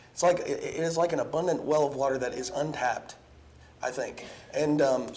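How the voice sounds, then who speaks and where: A hoarse young man giving an evening sermon in a church, earnest and halting, with many ums and restarts. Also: a little high, slight background noise. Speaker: preacher. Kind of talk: evening sermon with casual asides. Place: church sanctuary.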